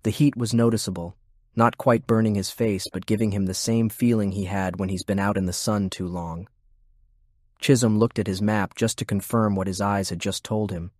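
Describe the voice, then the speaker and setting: Low-voiced man narrating an audiobook. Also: steady tone, normal speed, darkish tone, no room sound, studio quality. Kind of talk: scripted fiction narration.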